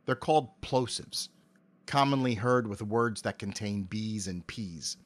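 Articulate middle-aged man, measured delivery. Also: lowish voice. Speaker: interview guest, amateur voice actor. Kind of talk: prepared interview answers. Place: home office. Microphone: cardioid dynamic mic with pop filter, on a stand.

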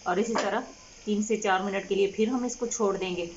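A woman cooking-channel host explaining a home recipe step by step in a calm, unhurried way with frequent fillers. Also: medium pitch, lo-fi sound, background noise. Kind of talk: recipe instruction over cooking footage. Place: home kitchen.

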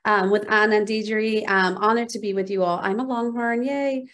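A woman's voice: medium pitch, fairly even intonation, moderate pace, a bit bright. Upbeat woman from Venezuela on a video call. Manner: enthusiastic, many "um" fillers. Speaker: panel speaker, PR director. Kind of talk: virtual panel talk. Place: office at home, indoors.

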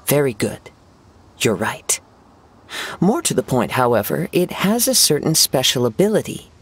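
An actor playing a gentle, poetic young wandering samurai in a video game, measured and formal in his words. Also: mid-range voice, very animated, a little hiss or hum. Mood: musing. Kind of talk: video game cutscene dialogue.

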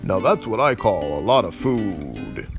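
A man voicing a solemn, deadpan cartoon character with a drawl. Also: low-pitched, measured pace, creaky, music in the background, heavily acted.

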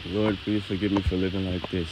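A man's voice: low-pitched, little pitch movement, fairly quick. A man vlogging as he walks through a park and temple grounds, joking and talking to himself. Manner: joking, talks to himself while walking. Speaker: travel vlogger. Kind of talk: casual vlog commentary. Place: outdoors on park paths and a temple grounds in hot weather. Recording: outdoor camera audio with background noise.

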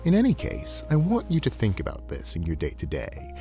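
A man voicing a genteel, soothing, playfully predatory snake-creature counselor in a measured, eloquent roleplay audio. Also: sits low, wildly swooping pitch, vocal fry, mellow, soft background music, exaggerated voice. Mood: soothing, thoughtful.

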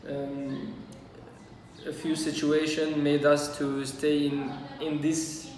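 A man in his thirties from Syria with a Syrian Armenian accent, speaking English haltingly as a second language in an interview. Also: on the high side, completely flat delivery, darkish tone, slight background noise. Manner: halting, searching for English words. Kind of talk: casual oral-history interview. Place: small cafe, indoors. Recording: echoey room from far off, crowd behind.

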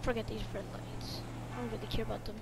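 A woman's voice: fairly high pitch, level tone, fast talker. A woman chatting casually and slangily while playing a roleplay video game. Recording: clearly noisy game audio, vehicle sounds.

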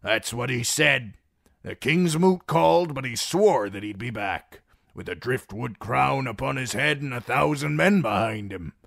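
A man playing a gruff one-armed old soldier. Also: mid-range voice, unhurried, fairly bright tone, dry recording, studio quality, voice pushed up.

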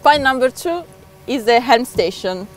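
A young woman, a boat dealer representative, explaining features in a halting, pausing way on a boat deck with a lapel mic. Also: a little high, lively intonation, music in the background. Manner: halting, with many uh pauses and non-native phrasing. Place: outdoors on a catamaran deck by the sea.

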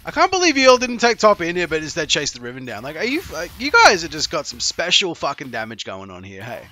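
A man's voice: fairly high pitch, huge pitch swings, quick pace, bright, forceful tone. A man in his thirties coaching on a stream, reviewing a player's replay with sarcastic, profane jokes, talking into a headset at home. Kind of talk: live-streamed replay commentary.

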